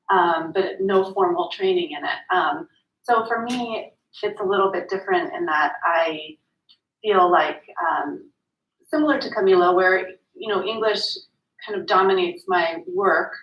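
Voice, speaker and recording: A woman writer answering a panel question in a soft, hesitant way with frequent ums. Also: on the low side. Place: event room at a literary gathering. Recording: roomy, distant, dull-sounding mic.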